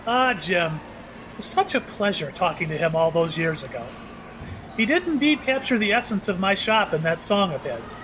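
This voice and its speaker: A mysterious, ageless shopkeeper played by a man, with a high voice that moves about in animated swings. He is slyly wry and cryptic, teasing out his hints as in a radio play, over a clearly noisy recording. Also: moderate pace. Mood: wistful. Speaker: actor in an audio play. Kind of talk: audio drama acting.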